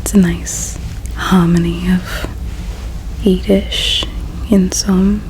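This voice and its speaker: A woman playing a grieving, devout alien in a whispered ASMR roleplay, speaking softly and solemnly in a breathy whisper with pauses. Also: fairly low pitch, level tone, a little hiss or hum, rough recording. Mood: reverent, gentle. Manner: slow, soft, solemn, with pauses. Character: grieving, devout alien priestess's daughter preparing someone for war.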